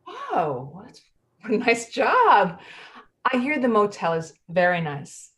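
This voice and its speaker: A woman's voice: mid-range voice, huge pitch swings, takes it slowly, a bit bright, exaggerated voice. A woman playing a flustered, evasive wife in a play reading, with a hesitant, stammering delivery. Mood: nervous, evasive.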